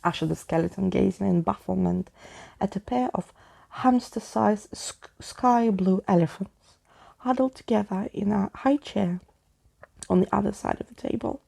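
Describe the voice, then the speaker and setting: A woman reading a storybook aloud in a soft-spoken ASMR style, with casual asides. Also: medium pitch, moderate pace, gravelly.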